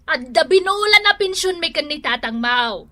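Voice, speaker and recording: A woman's voice: extremely high pitch, expressive, moderate pace, sharp tone, full character voice. A woman with an Ilocano accent playing a boastful, gossipy woman in a comedy radio drama, in an emphatic, exclamatory manner. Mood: teasing.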